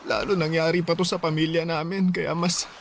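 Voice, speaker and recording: An actor playing a gentle, self-sacrificing young student boyfriend, earnest and sorrowful, in a Tagalog radio drama. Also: male voice, a little high, flat delivery, brisk, slight background noise, natural voice. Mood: sad, resigned. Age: young adult. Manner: soft-hearted, earnest, sorrowful.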